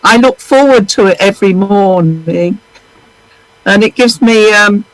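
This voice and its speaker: A woman with a deep voice comes through a video call, earnest and halting as she feels her way through each thought. Her delivery is slow, with a dry, close sound and no room around it. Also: dry recording, poor audio. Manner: earnest, halting, with frequent pauses. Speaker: viewer calling in.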